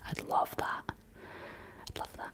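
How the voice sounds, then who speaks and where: A young woman with a Scottish accent hosting a whispered ASMR livestream, chatting gently through viewer comments in a soft, unhurried way; her voice is deep for a woman. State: slight frog in throat.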